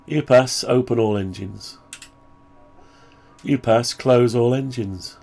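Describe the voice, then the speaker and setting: A man talking through a project update on his own software, rambling and thinking aloud, voice groggy from the flu. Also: medium pitch, slow pace. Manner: rambling, thinking aloud, frequent throat clearing. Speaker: hobbyist maker showing his own software. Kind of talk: casual screen-share project update.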